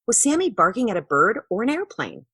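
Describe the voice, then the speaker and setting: A woman's voice: medium pitch, big pitch swings, fairly quick, a bit bright, slightly rough, no room sound, clean studio sound, pitched above the performer's own voice. A woman voicing a young girl who organises games and explains things expressively in a children's storybook. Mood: curious, correcting.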